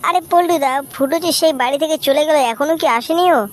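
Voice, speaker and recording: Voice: high-pitched, quick pace, close to an everyday voice. A performer voicing a meek, whiny young sidekick in a comic cartoon dub. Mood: eager. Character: meek, whiny male sidekick of a club boss.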